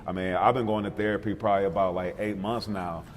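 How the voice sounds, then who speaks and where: Laid-back low-voiced male interviewer. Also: steady tone, fast, in a large hall, a little hiss or hum. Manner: laid-back, affirming, lots of fillers. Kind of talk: casual interview chat.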